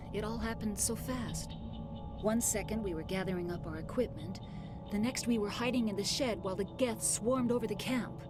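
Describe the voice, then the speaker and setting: A rattled, protective lead researcher, voiced by a woman, telling her story in an earnest, shaken way. Loud video game music swells under her voice. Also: mid-range voice, normal speed, lightly acted. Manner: earnest, shaken, apologetic explaining. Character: shaken female lead scientist, middle-aged, protective.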